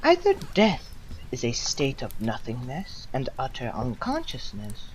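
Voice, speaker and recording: An old condemned philosopher, played by a woman, addresses the judges in a low voice that rises and falls dramatically. The delivery is slow, solemn and deliberate, serene yet defiant, with long theatrical pauses. It is an amateur drama recording with noise behind the voice. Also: wildly swooping pitch, exaggerated voice, poor audio. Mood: calm, philosophical.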